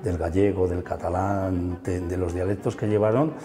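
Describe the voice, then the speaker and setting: A man's voice: sits low, steady tone, normal speed, dark tone, long reverb tail, soft background music. A middle-aged man guiding an interview through a museum, enthusiastic and full of fillers and tag questions. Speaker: musician and museum guide. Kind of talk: explanatory interview chat. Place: museum room.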